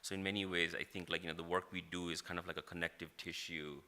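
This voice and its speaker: A man's voice: low-pitched, little pitch movement, fast talker, bright, forceful tone. A man from Nepal, speaking as a journalist on a conference panel, filling his talk with "like, you know". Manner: hedging, heavy use of "like, you know".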